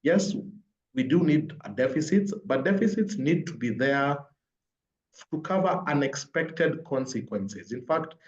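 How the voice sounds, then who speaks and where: A man's voice: medium pitch, darkish tone. A man with a Kenyan accent giving explanatory spoken analysis as a panelist, building his points step by step. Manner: explanatory, builds points in numbered lists and repetition. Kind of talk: spoken analysis at a virtual forum. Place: indoors, online call. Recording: roomy echo, dull lo-fi online call.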